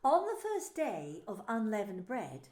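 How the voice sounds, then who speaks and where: Woman storyteller, lively and expressive, echoey with faint music behind. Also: mid-range voice, a little slow. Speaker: narrator. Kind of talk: scripted Bible story narration.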